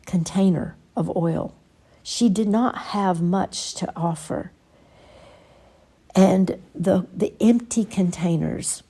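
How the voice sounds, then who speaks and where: A woman teaching a Bible lesson in a reflective, measured way, pausing between short phrases. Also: fairly low pitch, vocal fry, warm tone. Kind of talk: Bible lesson with scripture reading.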